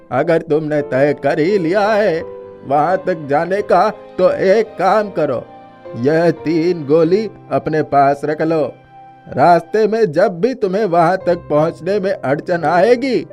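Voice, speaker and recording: Man playing a kindly old hermit, higher-pitched voice. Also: slow pace, a little mellow, soft background music. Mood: calm advising. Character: kindly old mountain hermit, advising a young prince. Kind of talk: cartoon fairy-tale voice acting.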